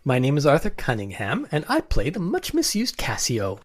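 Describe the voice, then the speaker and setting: An actor in a radio play voicing a proud, blustering Regency gentleman who acts as an amateur, hearty and emphatic. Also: mid-range voice, huge pitch swings, normal speed.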